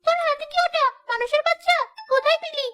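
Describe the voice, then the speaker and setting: A woman voicing a greedy, sneering older female ghost in a cartoon, with a shrill, mocking manner. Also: fast talker, fairly bright tone, faint music behind. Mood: hungry, greedy. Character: greedy, sneering older she-ghost who craves human babies. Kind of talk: Bengali cartoon voice acting.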